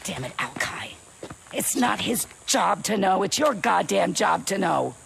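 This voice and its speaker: A male actor playing a hard-driving, short-tempered camp leader in a video game, commanding and impatient, with a gravelly creak. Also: high-pitched, sing-song, a little slow, noisy background, heavily acted. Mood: angry, impatient. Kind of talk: video game cutscene acting.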